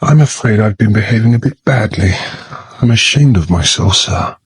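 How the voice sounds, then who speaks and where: An actor playing a gallant but shaken young gentleman, speaking haltingly and emotionally with well-bred politeness. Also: on the low side, expressive, breathy edge, no echo. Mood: ashamed, resolute.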